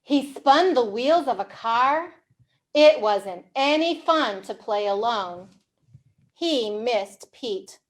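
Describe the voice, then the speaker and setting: A woman hosting a storytime for young children, reading aloud in an animated way with simple, exaggerated, encouraging questions. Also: fairly high pitch, rough recording. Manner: slow, simple wording for English learners. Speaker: children's storytime librarian host.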